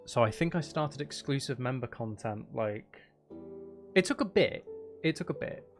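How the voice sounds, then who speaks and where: Guy streamer just rambling away casually, mid pitch voice, bit of music in the background. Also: lively intonation, moderate pace. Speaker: streamer, ASMR channel host. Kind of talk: casual livestream chat while gaming.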